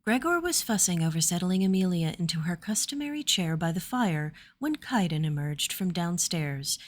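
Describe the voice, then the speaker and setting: A woman's voice: fairly low pitch, sing-song, moderate pace. A woman storyteller reading scripted fantasy fiction narration.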